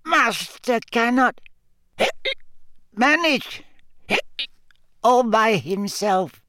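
A man voicing a tiny, drunk, tearful female house-elf who talks in squeaky, slurred, pleading bursts. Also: lively intonation, extremely slow, pushed and bright, dry recording, studio quality, exaggerated voice.

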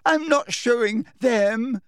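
A man's voice: slow, clean tone, dry recording, studio quality. A man voicing a teenage girl who puts on a desperate, tearful confession, stammering and wailing. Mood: shrill, defiant sobbing. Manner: stammering, gulping, shrill wailing.

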